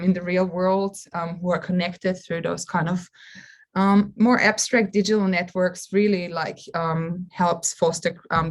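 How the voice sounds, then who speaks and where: Low-voiced Austrian woman, halting talk. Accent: Austrian German. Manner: halting, searching for words as she goes. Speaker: media artist presenting on a panel. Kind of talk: online conference talk. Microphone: video call.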